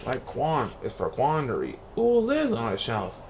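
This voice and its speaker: A man's voice: medium pitch, wildly swooping pitch, unhurried, darkish tone, a little hiss or hum. A man reading a children's picture book aloud in rhyme, with theatrical, playful emphasis. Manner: theatrical, playful rhyming delivery with exaggerated emphasis. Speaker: storybook reader.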